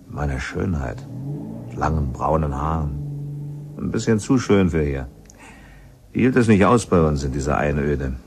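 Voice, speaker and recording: An actor playing a middle-aged, gossipy widowed helper at a mountain lodge, chatting in a rambling, resigned way in a radio play. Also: male voice, a bass voice, a little mellow, slight background noise, exaggerated voice. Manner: chatty, rambling, plain-spoken. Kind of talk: radio play acting, conversational.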